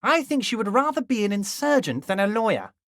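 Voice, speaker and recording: A man voicing a scheming middle-aged union boss in a video game, folksy and wheedling, friendly on the surface with a quiet threat underneath. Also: sits high, big pitch swings, fairly quick, dry recording, clean studio sound, full character voice. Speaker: game character voice.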